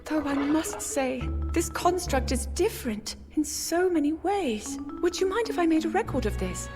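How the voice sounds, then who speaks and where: A woman's voice: high voice, expressive, moderate pace. A woman playing an earnest, curious young princess in a video game, speaking politely and formally with eagerness. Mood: curious, eager. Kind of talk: video game cutscene acting. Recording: loud orchestral music behind. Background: music.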